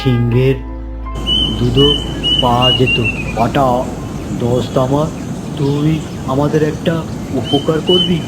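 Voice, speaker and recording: A man voicing a whiny, bickering young friend in a children's fairytale cartoon, in an exaggerated, drawn-out way. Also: medium pitch, fairly even intonation, lightly acted, rough recording. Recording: loud music behind the voice.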